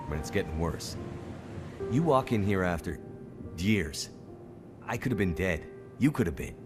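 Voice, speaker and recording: An actor playing a guarded, bitter bush pilot in a game cutscene, talking in terse, clipped lines. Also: male voice, sits low, close to an everyday voice. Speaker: game character. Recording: game cutscene over loud music.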